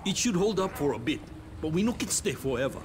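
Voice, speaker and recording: A woman's voice: fairly low pitch, sing-song, fast, a little hiss or hum. A woman voicing a practical survivor directing others to cover in a video game. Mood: practical, urgent.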